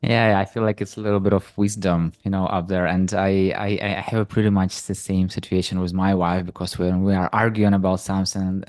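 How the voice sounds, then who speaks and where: A man's voice: sits low, little pitch movement, brisk, few pauses, a little mellow, no room sound, studio quality. A man hosting a podcast interview, asking curious follow-up questions. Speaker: podcast host.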